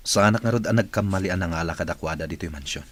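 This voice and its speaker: Man acting sick solemn boss guy, really low husky voice, ilocano filipino accent, radio drama. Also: racing. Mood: earnest, hopeful. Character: ailing male boss, solemn, longing to recover.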